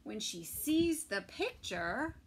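A middle-aged woman reading a picture book aloud to toddlers, expressively, with pauses between phrases. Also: a little high, big pitch swings, a little hiss or hum, rough recording. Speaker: children's story time reader. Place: small indoor room with bookshelves.

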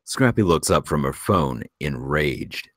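A man narrates a screenplay in a very deep voice. He reads out scene and action directions as scripted narration. The sound is dry, with little room. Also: animated, a little slow, fairly bright tone, dry recording. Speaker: narrator reading stage directions.

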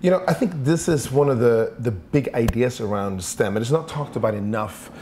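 Interview guest: man in his forties from Sweden, mid-pitched, thoughtful, repeats words while thinking, lapel mic in an office. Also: moderate pace, mellow, slight background noise. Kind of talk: conversational interview answers. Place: office with large windows.

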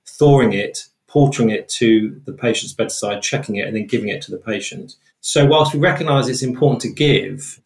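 British man, expert guest, lower register, measured explanations, roomy recording. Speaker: trauma researcher, interview guest. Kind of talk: explanatory interview answers. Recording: roomy, echoey sound.

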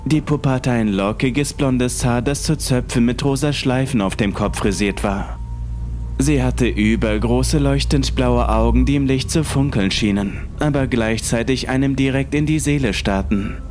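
Male horror narrator, measured scripted reading over quiet music. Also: mid-range voice. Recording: faint music underneath.

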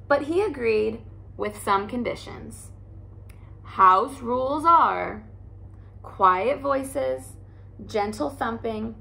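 A woman in her thirties, a teacher, reading a picture book aloud in a soft, cozy way in a living room by a fireplace. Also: fairly high pitch, vocal fry, poor audio.

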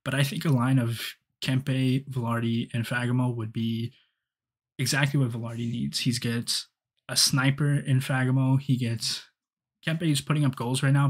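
A young man giving rambling solo hockey commentary with many ums and restarts, recorded on a headset in a small dim room. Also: medium pitch. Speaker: hockey fan podcaster. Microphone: headset, webcam framing.

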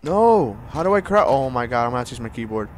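A man casually commenting on a video game he plays, joking and laughing, jumping from loud squeals to low mumbles. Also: very animated, quick pace. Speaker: gameplay streamer. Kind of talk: casual let's-play commentary.

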